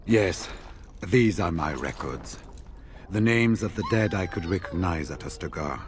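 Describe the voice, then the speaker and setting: Deep-voiced, formal veteran warrior. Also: male voice, lively intonation. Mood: grave, measured. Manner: formal, measured. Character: seasoned, courteous senior warrior of a secret order. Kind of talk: fantasy video game voice acting.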